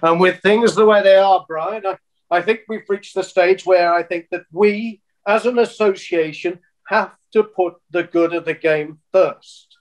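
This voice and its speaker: An older man playing an exasperated county football official in a filmed comedy, with a measured, dry manner. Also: high-pitched, low-quality recording. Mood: dry, firm. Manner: measured, dry, hesitant in reprimand. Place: room at home, on a video call.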